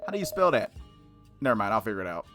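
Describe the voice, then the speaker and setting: Let's play narrator, mid-pitched, animated intonation, casual jokey commentary, stumbling reader, background music. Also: very fast. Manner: jokey asides, giggly, stumbles over words while reading. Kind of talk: reading visual novel aloud with casual commentary. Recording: music behind the voice.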